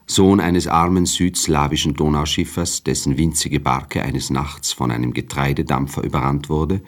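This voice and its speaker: Refined storyteller, very low voice, composed, measured, articulate, radio play narration. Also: male voice. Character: refined male first-person narrator of a novella, observant and composed.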